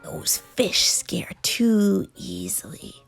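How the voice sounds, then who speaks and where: A woman voicing a hungry, menacing yet fond male monster in a children's storybook, in a breathy, whispery growl with a teasing, deliberate delivery. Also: medium pitch, soft background music, exaggerated voice. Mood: apologetic, teasing.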